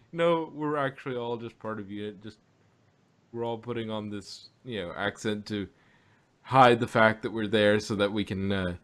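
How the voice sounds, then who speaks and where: A man with an American accent chats casually as a podcast host. His voice sits at a middle pitch. He works through his thoughts in a halting way, correcting himself and joking as he goes. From Texas, United States. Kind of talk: casual chat.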